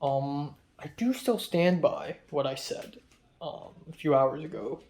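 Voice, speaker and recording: Young Hong Kong English-accented male vlogger; raspy, muffled, mellow, a touch high; rambling, self-deprecating confessions. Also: expressive, a little slow. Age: young adult. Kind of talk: confessional vlog talk.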